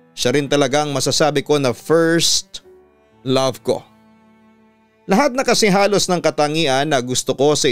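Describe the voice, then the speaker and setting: A Filipino man with a radio announcer's delivery, narrating letters in a measured, sentimental way. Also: fairly high pitch, clean tone, no room sound. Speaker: radio announcer hosting a story channel. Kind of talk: dramatic scripted narration of listener letters. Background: background music.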